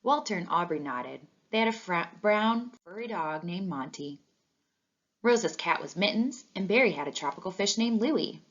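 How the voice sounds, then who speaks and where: A woman reading a children's storybook aloud in an expressive narrating style, on a dull lo-fi recording. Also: medium pitch, moderate pace. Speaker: storybook reader.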